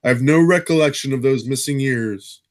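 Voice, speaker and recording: A man's voice: medium pitch, moderate pace, natural voice. A man playing a troubled, haunted castaway, giving an earnest and brooding audio drama monologue. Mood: brooding, wondering. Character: troubled male castaway haunted by vivid dreams.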